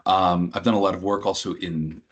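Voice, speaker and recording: A man's voice: low-pitched, fairly even intonation, a little fast, lo-fi sound. A middle-aged man from New Hampshire, a program director, presenting an information session in a conversational way with frequent ums. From New Hampshire, USA. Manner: filler-heavy, conversational explaining.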